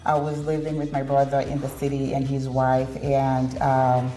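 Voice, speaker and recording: A woman from Zimbabwe, a ministry founder, calmly sharing her personal story as a guest in a talk show interview. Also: very deep voice. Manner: calm, measured. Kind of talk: interview testimony. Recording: echoey room, music behind.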